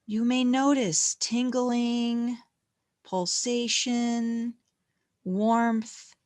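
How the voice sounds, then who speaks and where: A woman leading a guided meditation in a gentle, calm, unhurried manner with long pauses between phrases. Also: a little high, dry recording, clean studio sound.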